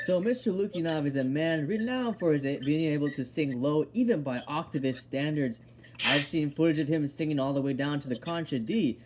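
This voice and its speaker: A man's voice: on the high side, darkish tone, slight background noise. A man hosting an interview, introducing and questioning his guest in a conversational way. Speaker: interviewer, host.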